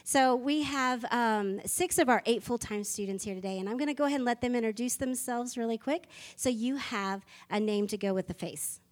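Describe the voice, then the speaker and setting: High-voiced fervent woman preaching. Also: brisk, hardly any pauses. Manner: fervent, builds to rousing declarations. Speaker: ministry school leader. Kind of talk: church mission appeal.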